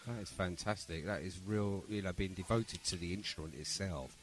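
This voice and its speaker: A man's voice: low-pitched, moderate pace, slight background noise. A man hosting a chatty radio interview in a jovial, encouraging manner. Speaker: radio show host.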